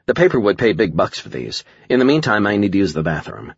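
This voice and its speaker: A man's voice: fairly low pitch, wildly swooping pitch, fast talker, gravelly, husky, no echo, lo-fi sound, heavily acted. A man voicing a tough, irritable young woman in an audiobook, muttering and grumbling wryly.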